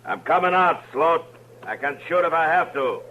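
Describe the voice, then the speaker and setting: A man's voice: a little high, lively intonation, normal speed, sharp tone, dull audio. A man playing a dry, firm U.S. Marshal in a western radio drama. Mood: commanding. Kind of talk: 1950s western radio acting. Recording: faintly noisy old radio recording.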